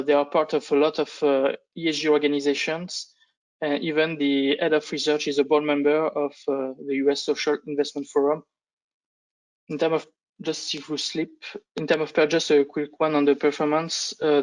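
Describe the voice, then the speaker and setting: A man who speaks English as a second language gives a business presentation on a conference call, with halting delivery and frequent false starts. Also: fairly high pitch, low-quality recording. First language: not English. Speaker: fund analyst presenting on a panel.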